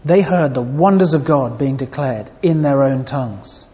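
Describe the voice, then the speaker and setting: Earnest preacher in a reverberant hall. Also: male voice, mid-range voice, moderate pace. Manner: earnest, expressive preaching with varied emphasis. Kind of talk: sermon. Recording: dull sound with long hall reverb.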